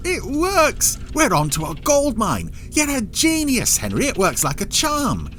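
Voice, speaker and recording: A man's voice: extremely high pitch, huge pitch swings, measured pace, fairly bright tone, a little hiss or hum, voice pushed up, exaggerated voice. A man voicing a smug, gloating financier and industrial spy in an audio drama. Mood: gloating, excited. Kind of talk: audio drama character acting.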